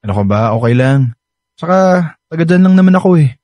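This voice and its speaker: A man's voice: a little high, lively intonation, fast, mellow, no room sound, close to an everyday voice. A man playing a gallant, bashful young suitor in a Filipino radio romance, with a Filipino accent and an earnest, teasing manner. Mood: caring, earnest. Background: background music.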